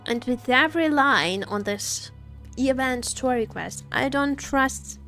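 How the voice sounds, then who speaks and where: Woman game streamer, high voice, unhurried, playful and teasing, casual reactions over game music. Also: fairly bright tone. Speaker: streamer playing a story game. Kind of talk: casual live gameplay commentary.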